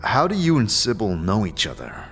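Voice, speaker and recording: Casting: hesitant, sincere adventurer, voiced by a man, plain-spoken and questioning, expressive pitch, fantasy audio drama over faint music. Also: moderate pace, exaggerated voice. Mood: probing. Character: earnest, hesitant adventurer asking probing questions.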